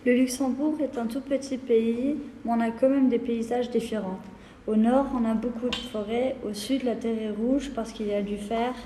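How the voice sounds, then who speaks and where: An eighteen-year-old woman speaking clearly and at a measured pace. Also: on the high side, steady tone, warm tone, slight background noise. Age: teens. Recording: echoey hall.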